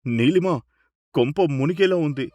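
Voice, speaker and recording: A man's voice: on the high side, unhurried, warm tone, no echo, soft background music, natural voice. A man playing a kindly, deferential and easily flustered mill boss in a dramatized story. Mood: panicked.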